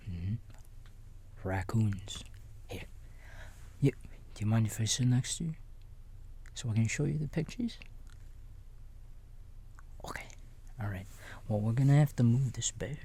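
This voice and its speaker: A man playing a kindly raccoon babysitter in a bedtime ASMR roleplay, whispering softly and gently reassuring a small child in a folksy, halting way. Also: fairly low pitch, a little hiss or hum. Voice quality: soft, breathy whisper. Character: kindly big-brotherly male raccoon babysitter.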